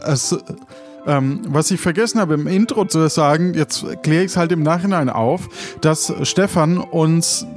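A man's voice: on the high side, darkish tone. A man hosting an improvised audio play podcast in a casual, chatty style. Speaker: podcast host and game leader. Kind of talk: casual podcast chat and credits.

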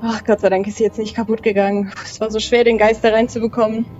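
A woman's voice: fairly high pitch, little pitch movement, fast, a little mellow, soft background music. A woman playing an audio role-playing game, improvising casually in a chatty, flustered way on a slightly dull recording.

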